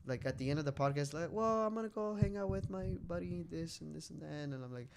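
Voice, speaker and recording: A man's voice: a little high, animated, quick pace, creaky, slight background noise. A man in his late twenties chatting casually and candidly on a podcast, rambling, repeating himself and swearing loosely. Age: late 20s. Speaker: podcast co-host.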